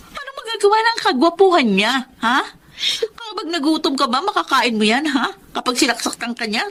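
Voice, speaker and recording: A woman's voice: fairly high pitch, pushed and bright. A woman with a Filipino accent playing a blunt young friend in a radio drama, scolding with sarcastic rhetorical questions.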